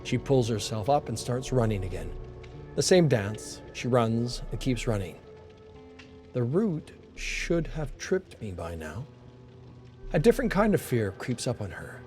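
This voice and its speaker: A man's voice: mid-range voice, sing-song, unhurried, a little mellow. A man reading a scripted dramatic story as a narrator. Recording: voice over background music. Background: music.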